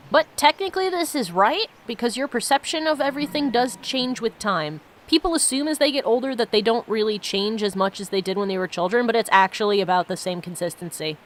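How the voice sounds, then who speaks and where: A woman's voice: a little high, a little hiss or hum. A woman giving casual, reflective commentary as she plays a game and reads its story aloud. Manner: musing, reflective. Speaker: let's play host.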